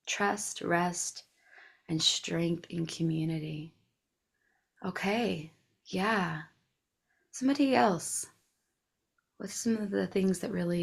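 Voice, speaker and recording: Woman coach hosting a group call, low gravelly voice, gentle and encouraging. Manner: soft-spoken, encouraging, frequent affirmations. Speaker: host and coach. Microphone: video call.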